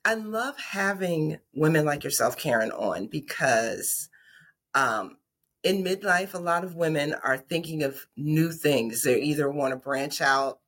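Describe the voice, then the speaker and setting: A woman hosts a casual podcast interview in a lowish voice with a touch of huskiness. She keeps the talk moving and backs her guest up with frequent affirmations. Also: lively intonation, a little slow, a bit bright. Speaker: podcast host.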